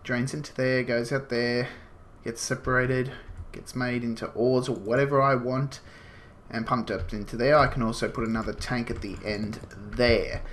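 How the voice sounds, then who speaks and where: A man's voice: mid-range voice, fairly even intonation, normal speed, a bit bright. A man with a slight cold, sniffling as he gives casual, rambling gameplay commentary full of fillers. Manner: rambling, explains as he goes, many fillers. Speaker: gaming channel host.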